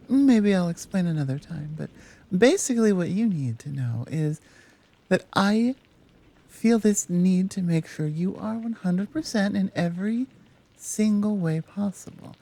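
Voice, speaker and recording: A woman voicing a gentle, teasing Amazon healer in a soft, intimate ASMR roleplay, speaking in a soothing, coy, deliberate way. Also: mid-range voice.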